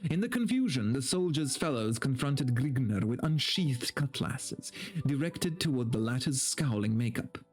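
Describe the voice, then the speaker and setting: A man's voice: mid-range voice, animated, moderate pace, soft background music. A man doing a dramatic story reading for a stream, mock-serious and breaking into incredulous, sweary commentary.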